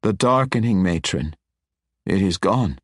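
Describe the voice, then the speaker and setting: A man voicing a despondent male dark elf wizard who speaks slowly and mournfully. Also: low-pitched, expressive, breathy edge, no room sound, studio quality, heavily acted. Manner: slow, mournful, hushed.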